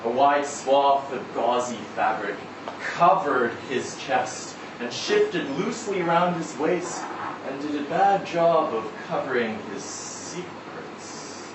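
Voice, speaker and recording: Slow-paced male story reader, roomy sound. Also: mid-range voice, animated. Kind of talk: live literary short story reading. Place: live reading event in a venue. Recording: roomy, distant, noisy live event recording.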